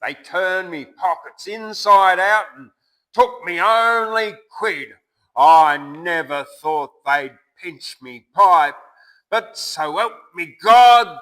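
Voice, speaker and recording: A man playing a huge, angry Australian bushman, ranting in loud, drawn-out bush slang about being wronged. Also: a little high, pitched above the performer's own voice, low-quality recording. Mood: outraged, aggrieved. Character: huge angry swagless bushman, cursing his robbers.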